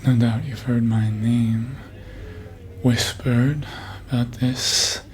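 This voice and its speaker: A man acts out a sly vampire lord in an ASMR fantasy roleplay. He drawls very slowly at a medium pitch, pausing theatrically. He sounds amused, teasing and full of flattery. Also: faint music behind, lightly acted.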